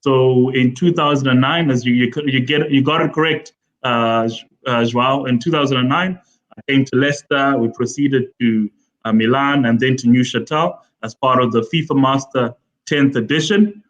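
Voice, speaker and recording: A man in his forties from Zimbabwe speaks as the guest of a live online interview, his middle-pitched voice coming through a slightly dull, lo-fi call line. He talks in long, measured explanations, pausing on frequent fillers. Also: fairly bright tone. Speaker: guest, football development official. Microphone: video call.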